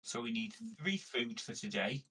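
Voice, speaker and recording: Husky man, a little off-mic. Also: medium pitch, big pitch swings, moderate pace, a bit bright, far from the mic. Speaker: player. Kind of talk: casual tabletop game discussion.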